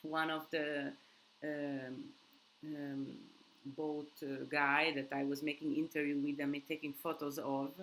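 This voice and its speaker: A woman's voice: low-pitched, level tone, unhurried, fairly bright tone, slight background noise, rough recording. A woman hosting a live Q&A in English that is not her first language, rambling casually with many restarts and fillers. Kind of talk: casual live-stream chat with viewers. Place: countryside home.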